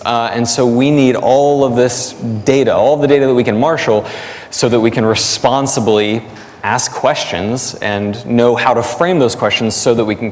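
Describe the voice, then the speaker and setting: A male academic answers interview questions in a big reverberant space. His voice sits at an average pitch, and he works through explanations aloud, leaning on everyday examples like a teacher with students. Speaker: academic guest, biblical language scholar and teacher. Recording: echoey hall, long reverb.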